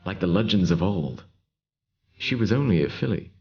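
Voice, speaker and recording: A low-voiced, weary seeker acted by a man in a radio play, in a slightly dull recording. He speaks with theatrical reverence and halting pleas, his pitch moving widely. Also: animated, a little mellow. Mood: awed. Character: earnest, weary wandering male seeker in awe. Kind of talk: audio drama acting.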